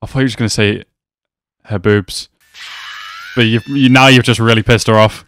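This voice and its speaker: A man's voice: fairly low pitch, steady tone, normal speed, a bit bright, a little hiss or hum. A man in his twenties giving slangy, amused reaction commentary in a casual way, close to a desk microphone. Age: 20s. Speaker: reaction video host. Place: small indoor room.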